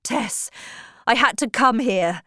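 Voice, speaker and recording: A woman voicing an intense, remorseful young gentleman turned preacher in an audiobook, pressing his case earnestly. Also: a little high, lively intonation, slow, no echo, clean studio sound, voice pushed up, heavily acted. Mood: desperate pleading.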